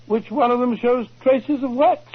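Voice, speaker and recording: An actor playing an earnest, puzzled British gentleman sidekick in a 1940s radio drama, blustery and exclamatory. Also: very high voice, moderate pace, breathy edge. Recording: muffled old 1940s radio recording.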